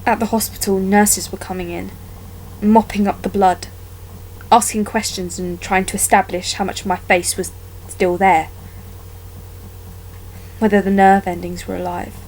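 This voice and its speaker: A woman performing a dramatic monologue as a wounded young woman haunted by a childhood attack, telling it haltingly with deliberate pauses. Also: mid-range voice, flat delivery, a little mellow, slight background noise, natural voice, low-quality recording. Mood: pained, subdued.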